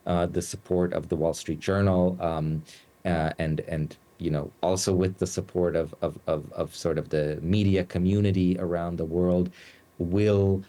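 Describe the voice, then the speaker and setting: A man from the United States, a journalist giving news interview answers, speaking haltingly with many fillers and restarts. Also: sits low, level tone, mellow. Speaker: journalist and Moscow bureau chief, guest.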